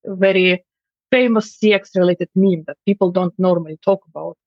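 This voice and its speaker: A woman's voice: on the low side, steady tone, dry recording. Woman explaining, dull dry audio. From Finland. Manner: measured, explanatory, dry humor. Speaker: guest customer experience expert. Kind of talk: interview answers.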